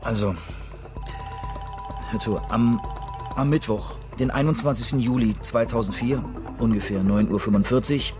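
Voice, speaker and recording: An actor playing an officious police investigator in a radio drama, talking in a businesslike, official way. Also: male voice, mid-range voice, close to an everyday voice. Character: male police investigator, brisk, officious, in charge. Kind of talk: radio drama acting, dictating a formal report.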